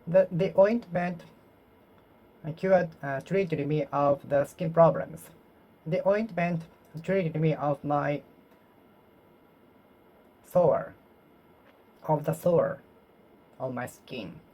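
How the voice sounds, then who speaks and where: Slow, halting English learner. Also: mid-range voice, muffled recording. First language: Japanese. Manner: repeats phrases, halting and self-correcting. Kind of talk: solo speaking practice, talking to oneself.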